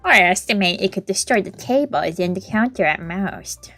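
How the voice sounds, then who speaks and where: A woman's voice: medium pitch, lively intonation, moderate pace, fairly bright tone, no room sound, soft background music. A woman voicing a smug, precise young man who loves bombs and intel, reading visual novel dialogue aloud. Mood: self-assured. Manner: precise, polite, self-assured. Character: smug, precise young male bomb enthusiast and informant.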